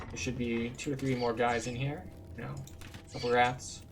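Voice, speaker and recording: A man's voice: medium pitch, flat delivery, normal speed, a little hiss or hum. A man giving casual, explanatory walkthrough commentary as a video game guide. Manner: informal, explanatory. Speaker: gaming guide host.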